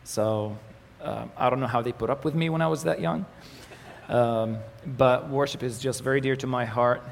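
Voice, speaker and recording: Young Arabic-speaking man from the Galilee giving a casual church talk, gravelly, with a long hall echo. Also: mid-range voice, normal speed. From Israel, Galilee. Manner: relaxed, joking, many fillers and restarts. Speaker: ministry communications manager giving a presentation. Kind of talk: church presentation with slides. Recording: echoey hall with faint noise.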